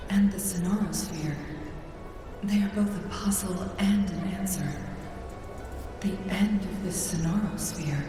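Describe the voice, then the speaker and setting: An actress playing a grown female disciple in a video game, a composed strategist who speaks calmly and reflectively. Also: medium pitch, lightly acted. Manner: calm, deliberate, reflective. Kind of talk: dramatic video game acting. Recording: very echoey, distant, music behind.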